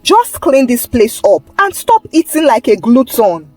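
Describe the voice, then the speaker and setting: A woman's voice: on the high side, sing-song, a little slow, darkish tone, no room sound. A woman voicing a stern, exasperated auntie in a folktale, scolding her greedy niece. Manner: scolding, suspicious, interjections like "oh" and "sha".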